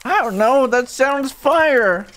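A woman's voice: sits high, animated, slow pace, fairly bright tone, heavily acted. A woman voicing a playful, food-loving, clumsy young stick figure in an amateur cartoon dub, with a childlike casual manner.

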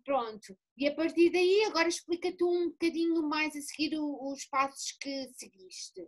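A woman with a European Portuguese accent, hosting an interview over a video call, enthusiastic and halting with many restarts. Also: high voice. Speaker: interview host. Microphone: laptop webcam on video call.

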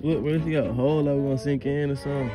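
A man's voice: medium pitch, very fast. Young man, slangy casual vlog, outdoors on a lake, phone mic, music behind. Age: young adult. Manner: slangy, excitable, nervous laughter. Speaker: vlogger. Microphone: phone camera held close.

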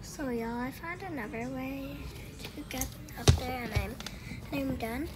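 A woman's voice: sits high, unhurried, very noisy. A young woman giving casual commentary on a game she is playing, chatting to her viewers and reading the characters' lines aloud. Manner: chatty, talks to viewers as y'all. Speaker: gameplay video creator.

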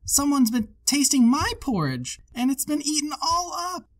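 A tiny storybook bear cub, a very high-pitched little boy. He cries out in a small, upset voice, loud and plaintive. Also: very animated, measured pace, full character voice. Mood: upset, whining. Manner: crying out in a little wee voice.

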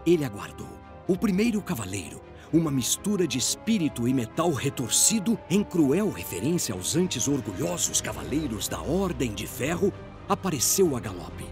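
A Brazilian man narrates a dramatic audio story in a solemn, theatrical way. His mid-pitched voice moves expressively up and down, with faint music underneath.

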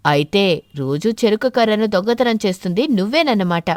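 A woman voicing an earnest, puzzled crow farmer in an animated fable, troubled and questioning. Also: mid-range voice, moderate pace, no room sound, studio quality, natural voice.

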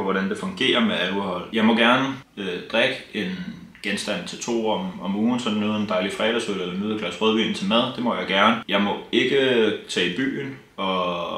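A man's voice: lowish voice, a bit bright. A young man speaking openly and plainly to camera in a casual vlog, seated beside his partner. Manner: plain, open, a bit wry. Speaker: vlogger, partner. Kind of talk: casual personal talk to camera. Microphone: camera on tripod, seated. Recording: roomy echo.

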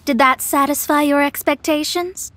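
High-voiced, haughty young astrologist. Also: female voice, steady tone, moderate pace, a bit bright. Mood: smug, confident. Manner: pompous, formal, theatrical. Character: proud young female astrologist, haughty, self-important mentor. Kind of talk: video game voice acting.

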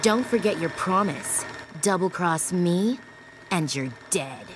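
A woman's voice: lowish voice, animated, slow pace. An actress playing a young, lethal, self-assured girl assassin in a video game, blunt and devoted. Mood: cold, confident. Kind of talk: video game cutscene acting. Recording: game audio with faint ambient noise.

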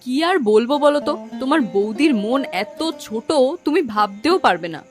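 A woman's voice: a little high, expressive, normal speed, faint music behind, natural voice. A woman voicing an aggrieved, fair-minded young daughter-in-law in a children's cartoon, with an emphatic, complaining manner.

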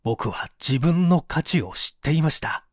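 A man acts out an anguished male secretary in an audiobook drama. He is bitter and dramatic, with slow delivery and wide swings of pitch. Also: lively intonation, no echo, clean studio sound. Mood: pained confession.

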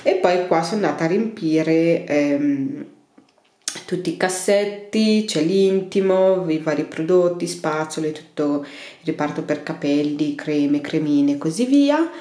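Woman, 30s, lowish voice, chatty home-cleaning vlogger, tiled bathroom echo. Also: lively intonation, measured pace, dark tone. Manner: chatty, rambling, many fillers and restarts. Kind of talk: casual clean-with-me narration.

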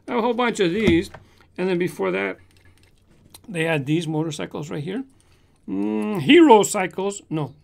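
Gravelly high-voiced man from Southern California. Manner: animated, gestures with hands, shows toy cars. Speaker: toy car collector channel host. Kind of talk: casual chat answering viewer comments. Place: home room with shelves of toy cars. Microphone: large desk condenser mic in front.

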